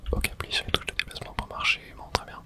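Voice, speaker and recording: A person whispering a breathy, unvoiced ASMR running commentary while playing a video game, in a soft, hesitant murmur. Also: fast talker. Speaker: gaming video host.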